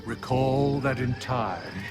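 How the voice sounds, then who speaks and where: An actor playing an ancient, solemn undead sage in a video game, speaking formally and archaically in a gravelly, measured voice. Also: male voice, medium pitch, expressive. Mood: solemn, admonishing. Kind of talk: video game character acting. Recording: loud game music behind the voice.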